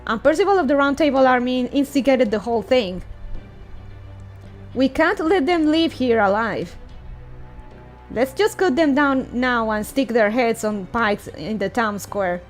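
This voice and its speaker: A woman's voice: sits high, lively intonation, measured pace, faint music behind. A woman reading a hostile, bloodthirsty fairy soldier from a fantasy game, agitated and accusing. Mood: indignant, accusing.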